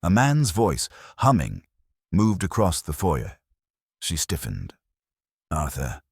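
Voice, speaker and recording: A man's voice: low voice, huge pitch swings, takes it slowly, creaky, darkish tone, slightly rough, no room sound, studio quality. A man reading a first-person detective story as an audiobook narrator.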